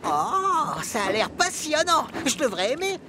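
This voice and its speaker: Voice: high-pitched, very animated, measured pace, background noise. An actor voicing an eager, naive young male diesel engine, chatty and childlike, in a French children's cartoon dub. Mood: friendly, curious.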